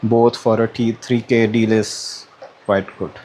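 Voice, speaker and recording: A man's voice: on the low side, little pitch movement, unhurried, a little mellow. A man giving a casual, hesitant unboxing commentary, thinking aloud as he goes. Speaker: vlogger unboxing a product. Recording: clear background noise, room ambience.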